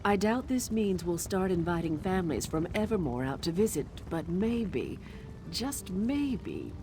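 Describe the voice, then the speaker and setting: A woman's voice: fairly low pitch, very animated, unhurried, warm tone, slight background noise. An actress playing a wry, earthy forest witch in a video game, with a casual, teasing manner. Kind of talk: video game character dialogue.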